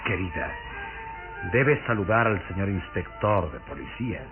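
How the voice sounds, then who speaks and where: A menacing vampire count in an old radio play. He speaks low and very slowly, with frosty, commanding politeness that swings expressively in pitch. The recording is muffled, with music underneath. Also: expressive. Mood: smooth menace. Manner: authoritative, slow, formal, icy politeness. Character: cold, courteous, sinister vampire count, gaunt and menacing. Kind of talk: old Latin American radio drama acting.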